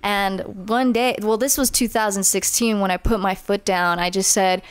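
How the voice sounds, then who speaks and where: A young woman in her twenties, a musician guest on a podcast, talking casually and animatedly with a smooth voice. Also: mid-range voice, moderate pace, no room sound. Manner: animated, acts out her own inner voice.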